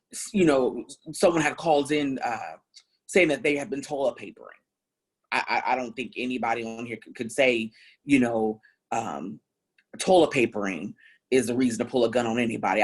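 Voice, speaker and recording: Woman, 30s, from Ohio, deep husky voice, earnest reflective panelist telling her own story. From Columbus, Ohio, US. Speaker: panel speaker. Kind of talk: personal testimony in a panel talk.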